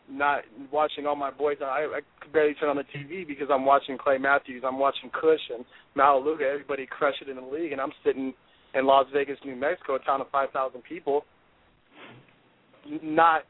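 Intense young man on a phone line. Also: mid-range voice. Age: 20s. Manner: intense, confident, driven. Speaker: football player, draft prospect guest. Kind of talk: phone-in interview. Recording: dry tinny phone line.

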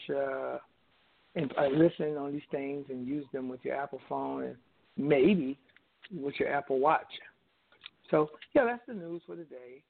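Gravelly man chatting over a phone line. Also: on the high side. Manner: hesitant, full of ums. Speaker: co-host. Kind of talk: casual tech news chat.

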